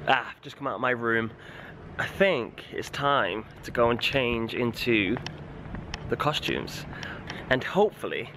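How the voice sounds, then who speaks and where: Young guy rambling to his camera, lots of "like"s, roomy echo, bit of hiss. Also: mid-range voice. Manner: chatty, animated, lots of "like" fillers. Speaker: vlogger and stage actor. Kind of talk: casual vlog chat. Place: hotel room and corridor indoors, garden outdoors. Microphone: camera held at arm's length.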